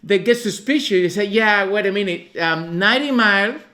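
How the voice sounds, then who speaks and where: A man from Cuba addresses a church congregation in strongly accented English. His voice is somewhat high, and he pauses often, reaching for words as he shares his ministry's story. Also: bright, forceful tone. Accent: Cuban Spanish. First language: Spanish. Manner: halting, searching for words. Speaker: visiting ministry leader. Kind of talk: church testimony. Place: church sanctuary.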